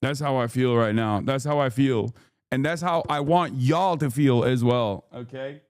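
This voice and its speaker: A man's voice: medium pitch, moderate pace, a little hiss or hum. A man in his thirties with a Texan accent, hosting a live stream with hyped, profane, rambling commentary. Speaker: streamer host.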